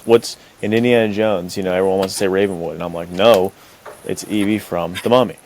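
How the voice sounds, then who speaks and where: Low-voiced man chatting as podcast co-host. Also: fairly even intonation, fairly quick, darkish tone, a little hiss or hum. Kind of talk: casual chat.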